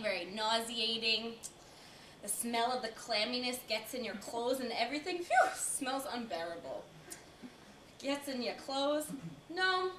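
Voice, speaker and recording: Flustered, animated old lady, acted by a young woman. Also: fairly high pitch, full character voice. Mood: dismissive. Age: young adult. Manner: flustered, confiding, dramatic stops and starts. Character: reluctant, embarrassed old American lady reminiscing about her girlhood. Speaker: student stage performer. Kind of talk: theatrical stage monologue. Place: indoor college performance before audience. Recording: echoey room, distant mic, faint hiss.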